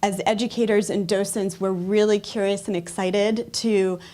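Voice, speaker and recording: A woman's voice: mid-range voice, fairly even intonation, moderate pace, mellow. An American woman, a museum educator, giving an upbeat explanatory talk on a conference panel. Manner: upbeat, explanatory, occasional fillers. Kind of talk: presentation talk to an audience.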